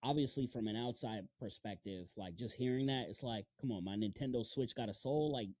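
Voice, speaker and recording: A man's voice: mid-range voice, fairly quick, darkish tone, no room sound, studio quality. A man hosting a podcast, chatting casually and slangily with plenty of loose swearing. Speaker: podcast host. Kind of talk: casual, animated podcast chat.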